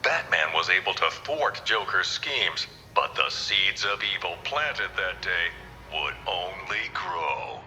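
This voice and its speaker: Deep, forceful, ominous narrator. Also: male voice, animated, slow, heavily acted. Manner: ominous, theatrical. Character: grave comic-book narrator recounting dark villain tales. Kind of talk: dramatic scripted narration. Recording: long hall reverb, faint music behind.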